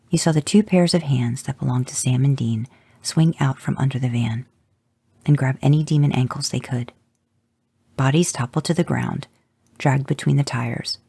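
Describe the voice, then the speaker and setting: A podcast host reading fanfiction aloud, guiding the listener through the story in a steady second-person telling. The voice is dark and gravelly with a husky grain, recorded close and dry. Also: mid-range voice, dry recording. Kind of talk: audio fanfic narration.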